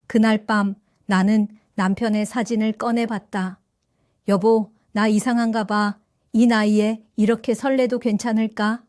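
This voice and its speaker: A woman in her seventies narrating her own story for an audio drama, in a gentle, reflective and wistful way. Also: mid-range voice, no echo, clean studio sound. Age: 70s. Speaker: first-person narrator.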